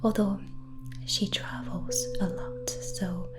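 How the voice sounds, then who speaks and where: Slow whispering woman, ASMR roleplay. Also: medium pitch, flat delivery. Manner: hushed, unhurried, gently hesitant. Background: background music.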